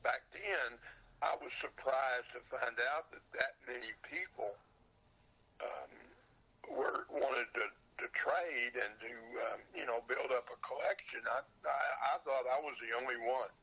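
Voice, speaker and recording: Husky older man, folksy and halting. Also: medium pitch, pushed and bright, dull audio. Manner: folksy, halting, repeats phrase openings. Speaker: podcast guest, old radio show collector and seller. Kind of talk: casual reminiscing interview.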